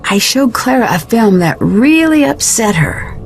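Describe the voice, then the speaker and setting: An actress playing an earnest, persistent young detective in a video game, speaking in a plain, questioning way. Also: female voice, a little high, very animated, unhurried, vocal fry, full character voice. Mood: concerned. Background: background music.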